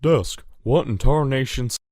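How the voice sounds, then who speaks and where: A man's voice: medium pitch, a little slow, darkish tone, no echo, clean studio sound, lightly acted. A man voicing an easygoing but determined young farm stallion, drawling folksily in a Southern US accent in a fan audio story. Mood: puzzled.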